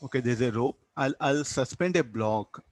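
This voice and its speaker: An Indian-accented man gives a physics lecture in a medium-pitched voice. He works through problems didactically, putting questions to the class and answering them himself. The sound is dry, with very little room echo. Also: moderate pace. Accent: Indian English. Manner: didactic, asks and answers own questions, tags statements with "no?". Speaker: physics teacher.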